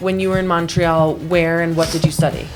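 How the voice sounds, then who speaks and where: A woman hosts a chatty interview in a notably low voice. Music plays clearly behind her as she talks informally with guests. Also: flat delivery, fairly quick. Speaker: interviewer, show host. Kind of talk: casual interview chat.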